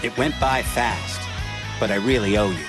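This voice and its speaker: A man's voice: fairly low pitch, moderate pace, natural voice. A man playing a cool, laconic, doubting young fighter in an anime game dub. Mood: low-key gratitude. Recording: music behind.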